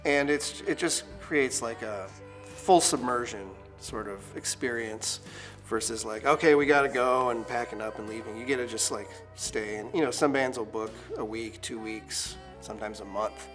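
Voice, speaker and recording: A man in his forties, a studio owner, speaks in a relaxed documentary interview. His talk wanders, full of fillers and restarts, and faint music plays quietly beneath it. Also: medium pitch, normal speed. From United States.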